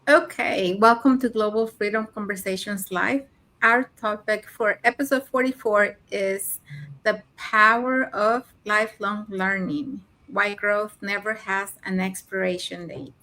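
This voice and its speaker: A woman's voice: mid-range voice, steady tone, measured pace, pushed and bright, low-quality recording. An older woman from Mexico on an online panel, welcoming in her delivery.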